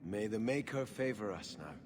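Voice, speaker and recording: Casting: male actor as devout loyal fighter, low earnest voice, game cutscene, cavernous reverb. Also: expressive, moderate pace, lightly acted. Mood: prayerful. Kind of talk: video game cutscene acting.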